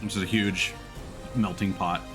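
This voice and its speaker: A man's voice: low-pitched, fairly even intonation, a little slow, music in the background. A man streaming a video game with casual, informal, slangy commentary on a close mic. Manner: chuckles through remarks, informal slang. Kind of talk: casual live gameplay commentary.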